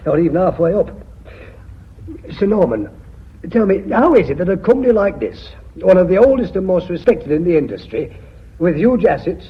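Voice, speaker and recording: An actor playing an aging, blunt mill manager in a radio drama, plain-spoken and indignant. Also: male voice, on the high side, breathy edge, heavily acted. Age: older. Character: aging, blunt, aggrieved Yorkshire mill manager made director. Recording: dull, muffled radio recording.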